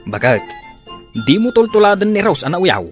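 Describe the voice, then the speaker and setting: An actress with an Ilocano accent playing a cautioning village busybody in a comic radio drama, exclamatory and admonishing. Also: female voice, medium pitch, very animated, normal speed, soft background music, close to an everyday voice. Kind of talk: comedic radio drama acting.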